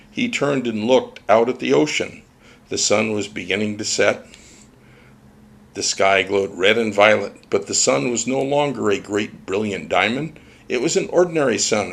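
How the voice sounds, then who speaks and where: Male narrator for storybook reading, low register, creaky and gravelly delivery. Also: fairly bright tone.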